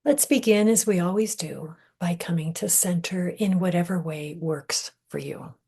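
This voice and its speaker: A woman leading a guided meditation in a gentle, measured way, breathy and husky, often trailing off into a whisper with deliberate pauses. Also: fairly low pitch. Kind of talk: guided meditation, soothing scripted narration.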